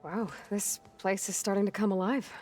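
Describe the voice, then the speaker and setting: An actress playing a guarded, determined young heroine in a video game, speaking in a dry, understated, weary way. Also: female voice, medium pitch, fairly even intonation, normal speed, a little mellow, soft background music, natural voice. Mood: casual, reserved. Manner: understated, terse, reserved. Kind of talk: naturalistic video game cutscene acting.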